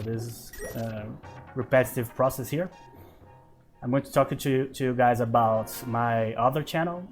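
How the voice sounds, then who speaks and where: A man in his thirties with a Brazilian Portuguese accent, a Portuguese native speaker, hosting his gaming channel and thinking aloud over gameplay in relaxed let's-play commentary. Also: mid-range voice. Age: 30s.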